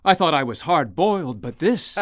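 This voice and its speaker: An actor playing a curious companion who gives short, questioning replies in a radio drama. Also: male voice, on the high side, sing-song, normal speed, fairly bright tone, no echo, studio quality. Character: young male companion, curious and easily shaken.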